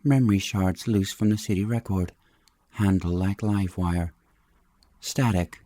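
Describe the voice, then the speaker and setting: A man's voice: deep voice, steady tone, unhurried, dark tone, no room sound. A man giving a scripted sci-fi audiobook reading in terse, clipped phrases. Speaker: audiobook reader.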